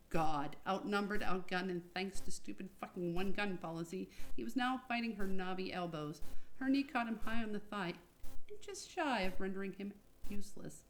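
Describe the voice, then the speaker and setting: A woman's voice: medium pitch, a little hiss or hum, poor audio. A woman reading a thriller novel dramatically and informally, as one of its authors. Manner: informal, breaks off with asides and corrections. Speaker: co-author reading from own book.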